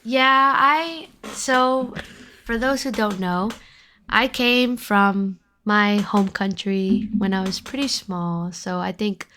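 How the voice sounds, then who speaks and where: A woman's voice: medium pitch, slow pace, pushed and bright, a little hiss or hum. A woman from Venezuela with a light Venezuelan accent, a podcast guest talking hesitantly with many ums. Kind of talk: casual chat.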